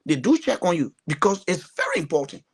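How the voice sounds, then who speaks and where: A man's voice: high voice, sing-song, a little fast, slightly rough. A man from Liberia with a Liberian accent, giving earnest, emphatic political commentary as a talk show panelist, often repeating phrases.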